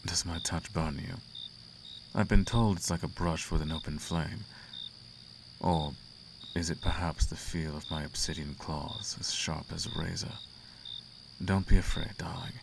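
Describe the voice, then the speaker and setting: A man's voice: low voice, steady tone, a little slow, background noise. A man playing a suave, flirtatious, imperious demon prince in an intimate roleplay monologue, teasing and silky with grand formal phrasing. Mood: seductive, teasing. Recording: clear outdoor cricket ambience behind.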